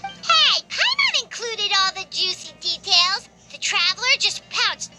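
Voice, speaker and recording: A tiny floating girl sidekick, squeaky high and bubbly, her voice bouncing all over the place. She tells stories with boastful excitement, and the game's score plays clearly under her. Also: a little slow, over music, exaggerated voice. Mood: excited. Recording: game voice line over score.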